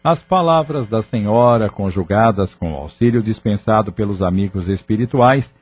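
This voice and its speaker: A man narrating an audio novel in a scripted, storytelling style. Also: fairly low pitch. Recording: muffled lo-fi audio drama recording. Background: background music.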